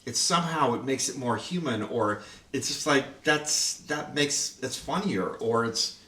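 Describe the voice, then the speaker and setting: A man's voice: medium pitch, a little slow, sharp tone, a little hiss or hum. A man who co-produced and designed the sound, chatting casually and rambling with lots of "like"s. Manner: rambling, full of "like"s. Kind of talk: casual behind-the-scenes chat. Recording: roomy echo, distant mic.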